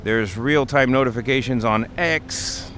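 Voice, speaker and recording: A man's voice: mid-range voice, a little slow, fairly bright tone. Man livestreaming casually over street noise. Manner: explanatory, conversational. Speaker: livestream host. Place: outdoors on a city street by a bike lane. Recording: outdoor street noise, passing vehicles. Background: traffic and urban ambience.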